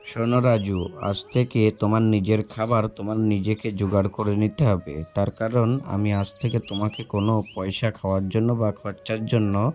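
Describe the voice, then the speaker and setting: A man playing a stern, principled farmer father in a moral cartoon, speaking slowly and solemnly as he instructs his son. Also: fairly low pitch, fairly even intonation, warm tone, soft background music, lightly acted. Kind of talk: moral story cartoon dialogue.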